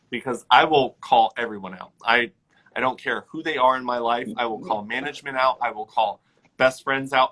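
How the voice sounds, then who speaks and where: Man, bright forceful voice, casual talk, reflective, firm. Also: mid-range voice, poor audio. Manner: reflective, lots of "like" fillers, firm. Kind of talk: casual conversation.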